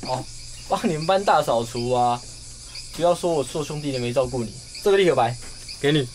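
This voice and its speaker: Man acting a cocky, animated schoolboy. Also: mid-range voice, a little fast, slight background noise, lightly acted. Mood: mocking. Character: cocky, mocking young male schoolmate. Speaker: voice actor in a radio drama. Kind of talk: radio drama acting. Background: music.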